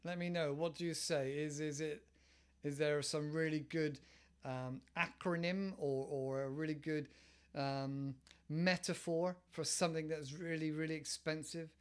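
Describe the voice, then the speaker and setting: Man, English accent, forties, slightly high pitch, relaxed rambling explanations, home headset recording. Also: unhurried, smooth. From England. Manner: relaxed, rambling, many fillers and restarts. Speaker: English teacher podcast host. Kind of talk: casual explanatory monologue. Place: living room at home. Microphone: headset with desk mic, webcam.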